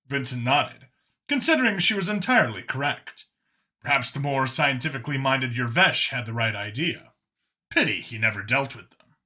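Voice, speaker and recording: A male audiobook narrator reads scripted fiction in a mid-range voice. The tone is bright and forward, suited to prose storytelling. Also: mid-range voice, animated, normal speed.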